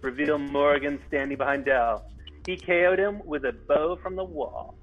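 A man's voice: a little high, moderate pace, dull audio, faint music behind. A man narrating stage directions at a comedy table read, informal, with stumbles and ad-libs.

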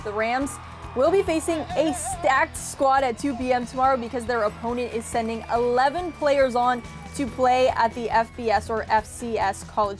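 Young woman, TV sports anchor, scripted studio delivery, highish pitch, clear music behind. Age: young adult.

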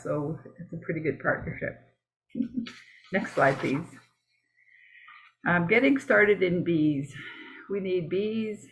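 A woman from Canada with a gravelly, creaky voice walks through her slides, stopping and restarting often between fillers. The sound is thin and tinny, like narrow video-call audio. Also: on the low side. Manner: halting and conversational, with many fillers and restarts. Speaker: beekeeping presenter.

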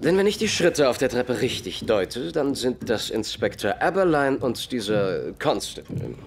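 An actor playing a self-assured, superior master detective with a precise manner in an audio drama. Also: male voice, mid-range voice, very animated, measured pace, slight background noise.

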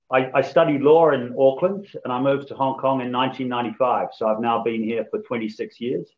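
Low, flat-voiced man on a dull video call. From New Zealand. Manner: measured, formal, with frequent ums. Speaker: law firm office head, guest speaker. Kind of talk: business presentation over video call.

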